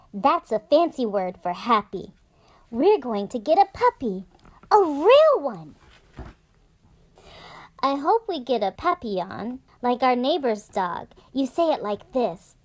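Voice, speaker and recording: Woman voicing a dramatic, high-pitched fancy little girl. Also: very animated, takes it slowly, full character voice. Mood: delighted. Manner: theatrical, proudly explains fancy words.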